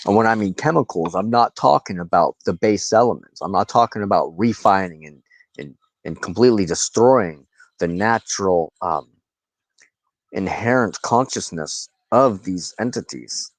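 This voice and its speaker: A man giving a rambling, informal monologue to his viewers in a halting, reflective manner, often pausing mid-sentence. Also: on the low side, expressive, dry recording. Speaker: host of a personal spiritual channel, wand crafter.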